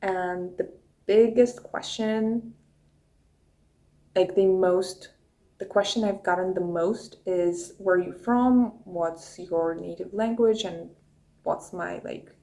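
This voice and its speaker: A woman's voice: lowish voice, takes it slowly, mellow, low-quality recording. A young woman from Russia with a Russian accent, her first language Russian, chatting casually to her phone camera as she answers viewer questions from her notes. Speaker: YouTuber. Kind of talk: casual get-to-know-me chat.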